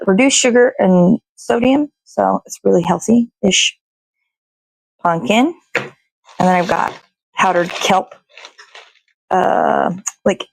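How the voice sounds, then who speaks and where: A woman's voice: mid-range voice, no echo, low-quality recording. An American woman hosting casually from her kitchen, chatting in an informal, rambling, sweary way as she cooks. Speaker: host of a morning show. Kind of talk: casual chatty narration while cooking. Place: indoors in a home kitchen.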